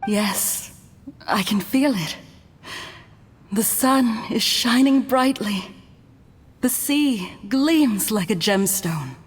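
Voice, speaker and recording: A serene young mystic in a game who talks very slowly in a soft, breathy voice. Her words trail off into a long reverb. She describes things dreamily. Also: medium pitch, lively intonation, full character voice. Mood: dreamy.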